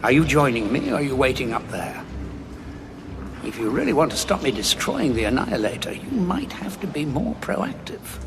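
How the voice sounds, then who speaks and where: An actor playing a grim, war-weary Time Lord with a gravelly voice, deliberate and dryly sardonic, in an audio drama. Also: male voice, medium pitch, very animated, noisy background. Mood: urgent, wry. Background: background music.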